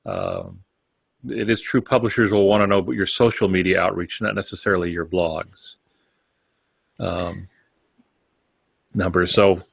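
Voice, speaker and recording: A man on a podcast panel, giving casual advice in a measured, folksy way. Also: low voice, animated, gravelly, slightly rough, dry recording. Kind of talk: casual advisory discussion.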